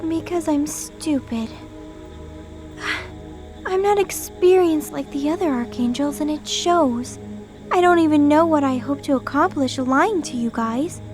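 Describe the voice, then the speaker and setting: A woman playing a gentle, self-doubting young guardian angel in an audio drama, apologetic and hesitant in manner. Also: sits high. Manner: soft-hearted, apologetic, hesitant. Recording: music under the voice. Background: music.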